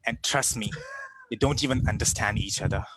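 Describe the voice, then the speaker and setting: A man's voice: mid-range voice, a little fast, very noisy. A man from India performing a stand-up comedy monologue to a live room.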